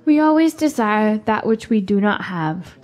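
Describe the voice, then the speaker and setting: A woman's voice: medium pitch, expressive, unhurried, darkish tone, close to an everyday voice. A woman playing a haughty, ambitious young village beauty in a fantasy radio drama, with an airy manner. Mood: scornful.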